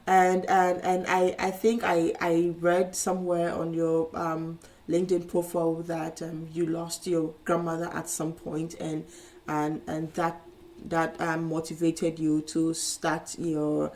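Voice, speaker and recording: A woman's voice: low-pitched, dark tone. A woman hosting a podcast interview, reacting effusively and repeating words as she works out her questions. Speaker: podcast host. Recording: voice sounds distant from the mic.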